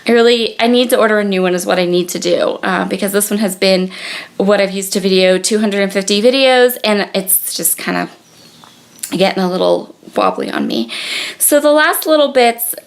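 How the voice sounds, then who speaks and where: A woman's voice: medium pitch, expressive, moderate pace, vocal fry. A young woman with a cold, chatting casually through a crafting voice-over, full of ums and restarts. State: sniffly with a cold. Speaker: scrapbooking video creator. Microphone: tablet on a gooseneck.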